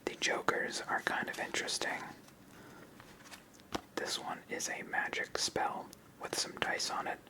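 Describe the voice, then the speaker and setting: A person of unclear sex giving a whispered ASMR tutorial as host, explaining step by step in a soft, unhurried manner. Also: rough recording. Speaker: host of a card game channel.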